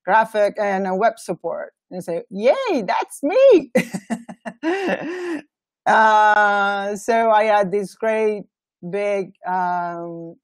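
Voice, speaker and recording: A woman's voice: mid-range voice, sing-song, extremely slow, no room sound, clean studio sound. A woman from Italy with an Italian accent, informally introducing herself on an online call, searching for words. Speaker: web and graphic designer on a nonprofit team.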